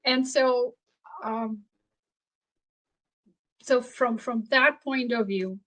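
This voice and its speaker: A woman author whose first language is Slovak, answering interview questions thoughtfully, with pauses, restarts and frequent laughs. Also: fairly high pitch, a bit bright, poor audio. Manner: thoughtful, halting, laughs often. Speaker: author and researcher guest. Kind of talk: interview conversation.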